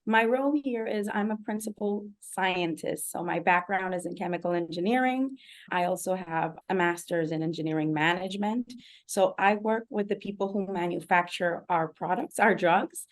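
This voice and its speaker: A woman from Rico, speaking in a lively, friendly way on a panel. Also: fairly low pitch, moderate pace. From Puerto Rico. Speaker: panelist, principal scientist. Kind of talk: panel discussion.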